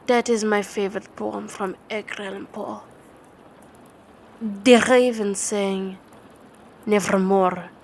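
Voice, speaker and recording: Slow, halting woman reading ASMR. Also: medium pitch, a little mellow, a little hiss or hum. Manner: halting, repeats and stumbles on words. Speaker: ASMR roleplay performer. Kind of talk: ASMR sleep-aid storytelling and poetry reading.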